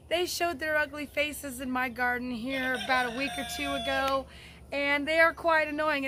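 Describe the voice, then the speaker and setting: A woman with a high-pitched voice, slightly under the weather with a cold and sore throat, chats informally as she explains things for a gardening vlog. Her delivery is folksy and casual, and she is recorded outdoors with clear background noise. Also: bright, forceful tone. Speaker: gardening vlogger, host. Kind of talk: casual how-to vlog talk.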